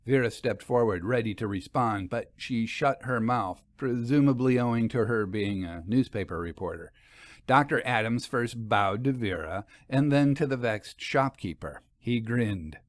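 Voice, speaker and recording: A man's voice: medium pitch, unhurried, no room sound. A man reading a mystery story aloud as scripted narration.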